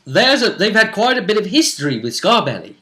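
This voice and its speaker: Animated, bright-voiced man recapping a game. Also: high-pitched, brisk. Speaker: game master recapping a tabletop role-play session. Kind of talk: casual recap chat.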